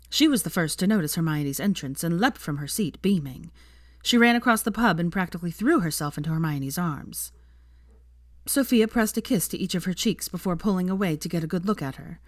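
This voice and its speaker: Casting: woman audiobook narrator, low register, close and dry recording. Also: no room sound. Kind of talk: scripted fiction narration, audiobook reading.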